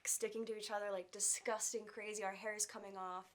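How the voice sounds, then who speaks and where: Young adult woman, soft-spoken, candid interview guest, casual phrasing. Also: medium pitch, flat delivery, a little fast. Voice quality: soft, quiet voice. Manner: open, candid, uses fillers like "like" and "um". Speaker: actress, guest.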